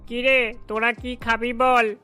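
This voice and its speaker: A weary cartoon father voiced by a man, plain-spoken and admonishing. The voice is forceful and very clear, with soft music underneath. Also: extremely high pitch, flat delivery, takes it slowly, exaggerated voice. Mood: mildly chiding. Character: chiding, weary father of twin daughters. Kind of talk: cartoon story voice acting.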